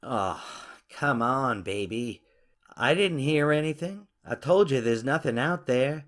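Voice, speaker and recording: A man's voice: medium pitch, animated, measured pace, lightly acted. An actor playing a young man in a car who casually brushes off his girlfriend's fears in a coaxing, dismissive way. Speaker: boyfriend in a parked car.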